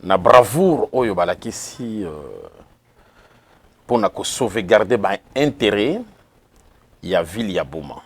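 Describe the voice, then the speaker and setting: A man from Kongo Central with a Congolese accent, answering interview questions in a formal, solemn manner with filler pauses. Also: fairly low pitch, expressive. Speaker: interview guest, association president.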